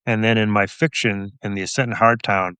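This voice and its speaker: A man's voice: on the low side, steady tone, fairly quick, bright, forceful tone, smooth, dry recording. A middle-aged man, a police officer and author, answering casually as an interview guest in a thoughtful, wry, self-deprecating way.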